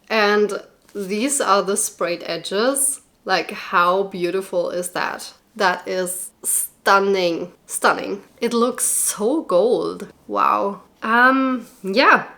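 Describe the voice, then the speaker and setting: A young woman in casual vlog talk, gushing and excitable with frequent fillers, still getting over a cold. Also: medium pitch. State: recovering from a cold. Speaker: book vlogger.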